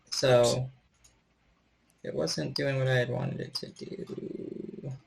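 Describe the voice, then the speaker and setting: A man's voice: medium pitch, steady tone, very slow, vocal fry, a little mellow, husky. A man speaking casually as a storyteller for a tabletop game on an online group call. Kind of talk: casual talk and improvised game narration.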